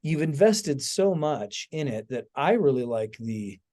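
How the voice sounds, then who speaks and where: A man's voice: medium pitch, lively intonation, unhurried, warm tone. A man hosting a podcast in casual conversation, telling stories with emphatic asides and frequent check-ins with his listener. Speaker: podcast host and author. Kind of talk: casual chat.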